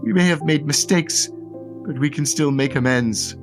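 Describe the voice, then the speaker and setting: A man's voice: on the high side, moderate pace, over music, voice pushed up, close to an everyday voice. A man voicing an earnest, noble stage-play character with solemn theatrical delivery.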